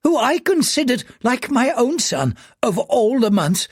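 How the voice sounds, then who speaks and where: A man's voice: very high-pitched, very animated, unhurried, breathy edge, no room sound, voice pushed up, heavily acted. Frail old gambler, breathless and pleading, voiced by a male reader, dry close sound. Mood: wheedling. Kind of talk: audiobook character voice.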